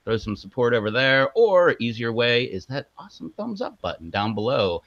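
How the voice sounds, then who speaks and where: A man's voice: medium pitch, very animated, normal speed, a bit bright, no room sound, highs cut off. A man co-hosting a casual live stream who talks in a chatty way, laughs often and promotes the channel.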